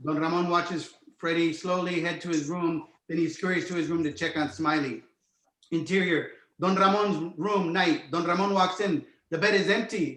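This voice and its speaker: A man's voice: sits high, a bit bright. A man reading stage directions as scripted narration for a screenplay table read. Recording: roomy, echoey home recording.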